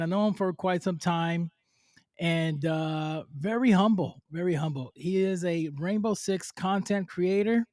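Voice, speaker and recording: A man's voice: a little high, no echo, clean studio sound. Hyped-up man hosting a podcast. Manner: hyped, playfully exaggerated, repeats himself. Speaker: podcast host. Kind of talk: casual interview chat.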